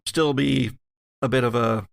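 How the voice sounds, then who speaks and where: A man running a horror tabletop game as game master, narrating in deliberate, dramatic short phrases. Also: mid-range voice, fairly even intonation, gravelly, dry recording. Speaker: game master and podcast host.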